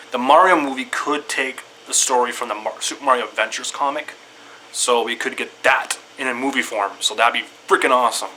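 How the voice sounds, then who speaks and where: A man giving casual, rambling opinions to viewers in an excitable fan's manner, often repeating himself. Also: medium pitch, slight background noise, rough recording. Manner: excitable fan talk, repetitive, loosely structured. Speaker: video creator giving opinions.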